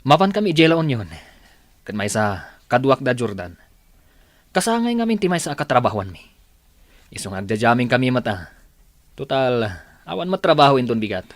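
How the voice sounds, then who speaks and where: Actor as an irritable, swooping-voiced Ilocano boyfriend. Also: male voice, mid-range voice, sing-song, fairly quick, pauses often, no room sound, natural voice. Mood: casual, evasive. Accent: Ilocano Filipino. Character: demanding, irritable, selfish young boyfriend. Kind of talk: Ilocano radio drama acting.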